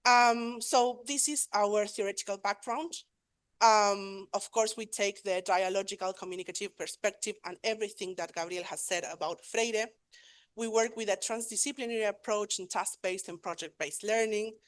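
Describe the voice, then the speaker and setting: A woman's voice: medium pitch, fairly even intonation, moderate pace. Woman presenting, full of fillers. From Argentina. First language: Spanish. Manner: hesitant, many fillers and self-corrections. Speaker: conference presenter. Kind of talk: academic presentation.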